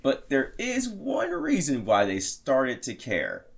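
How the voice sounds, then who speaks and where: A man's voice: medium pitch, wildly swooping pitch, slow, vocal fry, slightly rough. A man hosting a podcast, telling a crime story in a crude, jokey, rambling way. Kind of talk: casual comedic storytelling.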